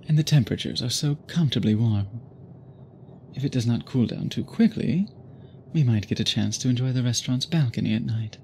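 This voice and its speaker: A man playing an obsessed, courtly gentleman vampire in an intimate audio roleplay, speaking formally and deliberately to the listener. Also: medium pitch, a little hiss or hum, natural voice. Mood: courtly, charmed. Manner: formal, flowery, measured speech with deliberate pauses. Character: obsessed, courtly gentleman vampire suitor, possessive yet restrained. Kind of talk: intimate scripted ASMR roleplay, addressed to listener.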